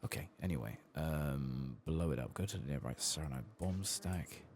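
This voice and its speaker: Very deep, gravelly soft-spoken man. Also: moderate pace, a little hiss or hum. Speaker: video game streamer. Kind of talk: soft-spoken ASMR gameplay commentary.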